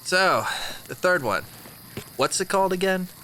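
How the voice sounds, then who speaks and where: A man's voice: sits high, expressive, a little slow, pushed and bright, full character voice, low-quality recording. A young man playing a casual, joking bandmate. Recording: clear background noise.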